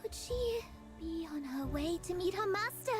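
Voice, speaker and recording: A woman's voice: extremely high pitch, expressive, moderate pace. An actress playing a poised young female companion who speaks in an earnest, articulate way. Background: game music.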